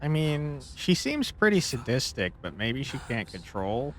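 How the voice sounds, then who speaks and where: A man's voice: mid-range voice, big pitch swings, measured pace, slight background noise. A man giving casual let's play commentary as a game streamer, with a wry, joking manner. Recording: faint game music behind. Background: video game music and dialogue.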